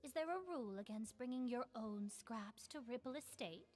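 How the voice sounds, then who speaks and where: A woman's voice: fairly high pitch, animated, moderate pace, darkish tone, soft background music. An actress playing a defiant, evasive female bar owner in a video game, with a haughty, curt delivery. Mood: defiant, dismissive.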